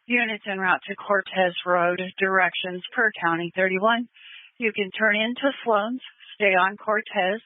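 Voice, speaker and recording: A woman's voice: medium pitch, fairly even intonation, unhurried, dry recording. A female emergency dispatcher speaks over a thin, phone-like two-way radio channel. She is procedural, relaying and confirming details, and the sound is close and dry with no room around it. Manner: procedural, repeats details back. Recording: narrow, phone-like scanner radio audio.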